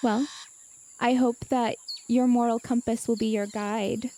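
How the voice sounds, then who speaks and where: A woman playing a tabletop game in character, speaking in a probing, measured way. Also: fairly high pitch, little pitch movement, warm tone, slight background noise. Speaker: player.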